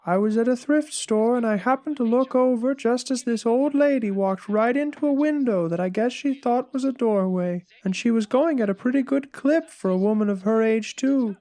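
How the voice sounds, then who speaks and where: A humbled, awkward blogger acted by a woman shares woes in a comic dramatic reading. The voice is fairly high and very mellow, with a plaintive, chatty flow. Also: lightly acted. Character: humbled, put-upon, awkward oddball blogger.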